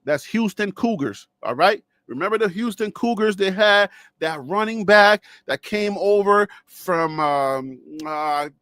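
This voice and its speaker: Man, high voice, casual sports host, rambling jokes, dry close sound. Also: animated, a little slow, few pauses, fairly bright tone, no room sound. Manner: rambling, joking, laughs often, talks to viewers.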